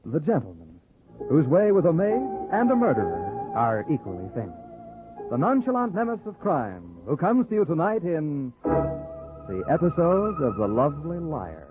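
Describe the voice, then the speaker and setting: A man's voice: on the high side, wildly swooping pitch, moderate pace. Man announcing dramatically, hugely swooping delivery. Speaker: radio announcer. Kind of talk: 1940s dramatic radio announcing. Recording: tinny old radio recording, loud music.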